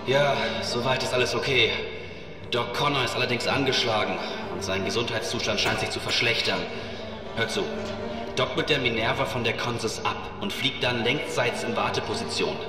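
A man's voice: medium pitch, steady tone, normal speed, music in the background, natural voice. Resolute male captain played by an actor, sci-fi horror audio drama, distant and reverberant. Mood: calm, focused. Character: resolute, protective male spaceship captain in danger. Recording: echoey reverberant sound, distant from mic.

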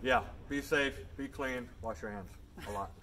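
A man's voice: medium pitch, moderate pace, pushed and bright, slight background noise. A middle-aged man with an American accent giving a casual update to a camera outdoors. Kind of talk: casual joint video update. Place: outdoors by a brick wall. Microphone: far camera. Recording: distant camera outdoors with music.

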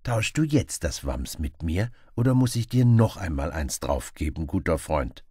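A man reads aloud as a bold outlaw hero of a storybook, cheerful and teasing. His delivery is lively in pitch, recorded dry and close. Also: moderate pace, breathy edge, no room sound, full character voice. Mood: bold, threatening.

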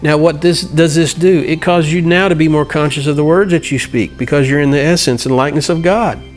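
A middle-aged man teaching from scripture in an earnest, halting way, reading passages and explaining them. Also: on the high side, fairly even intonation, faint music behind. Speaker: Bible teacher. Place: indoor room by a large window. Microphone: lapel mic.

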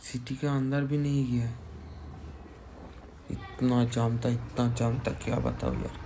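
A man's voice: mid-range voice, flat delivery, a little slow. A man giving casual, repetitive running commentary as a motovlogger while riding. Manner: repetitive, exclaiming at what he sees. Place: outdoors on a moving two-wheeler. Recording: faint road noise. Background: traffic and outdoor ambience.

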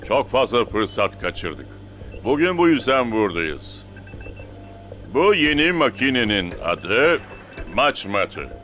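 An actor playing a serious, authoritative football coach in a cartoon, giving firm and deliberate instructions. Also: male voice, mid-range voice, very animated, fairly bright tone, soft background music. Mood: firm, instructive. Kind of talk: dubbed children's cartoon dialogue.

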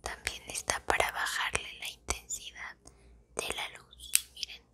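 A woman in her twenties with a Mexican accent speaks in a soft, breathy, intimate whisper, chatting casually in ASMR style close to the microphone. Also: a bass voice, monotone. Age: 20s. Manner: soft-spoken, intimate, unhurried. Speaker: ASMR video creator. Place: small indoor room at home.

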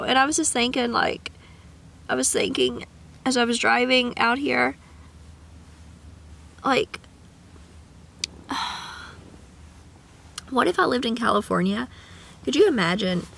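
Young girl w a cough, kinda high voice, rambly car vlog chat into her phone cam. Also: vocal fry, fairly bright tone. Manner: rambling, chatty, with sing-song asides. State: sick with a cough. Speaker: vlogger. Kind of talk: casual chat. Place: parked car. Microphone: camera at arm's length.

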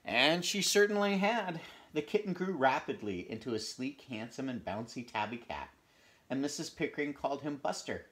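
A man in his fifties reading a children's storybook aloud in an expressive, animated way at home. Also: mid-range voice, normal speed. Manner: animated storytelling with asides to the listener. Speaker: storybook reader. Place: small furnished room at home.

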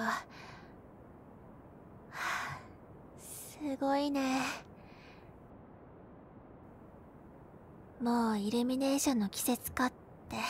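Woman voicing a young girlfriend, high-pitched, soft, caring, playful, intimate audio drama, slight rumbling noise behind. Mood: wondering. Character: gentle, cheerful young girlfriend comforting her overworked boyfriend. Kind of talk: Japanese binaural situation-voice roleplay, spoken to the listener.

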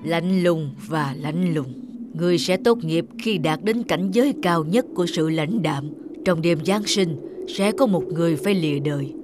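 A cold, aged master of death played by a woman in an animated fairy tale. The voice is low, solemn and commanding, with music clearly underneath. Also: normal speed, natural voice. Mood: cold, stern. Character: stern, cold old master Grim Reaper.

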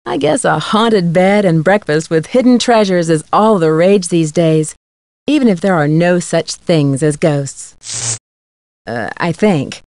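A woman's voice: lowish voice, unhurried, a little mellow, no room sound. An actress playing a curious, upbeat young woman detective in a video game, with an inquisitive, scripted delivery. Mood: cheerful recounting. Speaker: game protagonist.

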